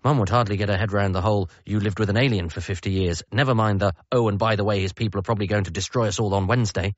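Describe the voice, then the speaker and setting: A man's voice: fairly low pitch, fairly even intonation, rapid-fire, no echo, muffled recording, close to an everyday voice. An actor playing an anxious, wry grown son, a medical man, narrating and talking in a radio comedy drama. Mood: wry, intrigued. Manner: wry, hesitant in dialogue.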